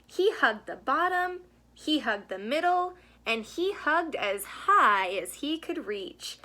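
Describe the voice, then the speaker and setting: A woman reading a picture book aloud to children in an expressive storytelling style. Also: high voice, takes it slowly. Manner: asks the young listeners questions. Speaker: storybook reader.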